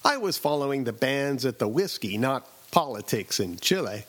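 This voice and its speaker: A middle-aged man from Southern California narrating a scripted personal story as host, with a wry, deadpan delivery and dramatic pauses. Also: mid-range voice. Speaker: radio essayist and host.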